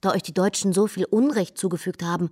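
A woman reading aloud the letters of a loving mother writing to her son far away, in a gentle, earnest tone. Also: on the low side, fairly even intonation, quick pace, husky. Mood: grave.

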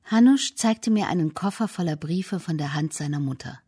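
This is a woman narrating a documentary in a measured, factual manner. Her voice is dark and husky, and she pitches it somewhat low. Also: expressive.

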